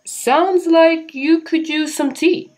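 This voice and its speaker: A woman's voice: very high-pitched, steady tone, takes it slowly, darkish tone, pitched above the performer's own voice. A woman voicing a big, easygoing male gorilla in a storybook, matter-of-fact in manner. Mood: calm, helpful.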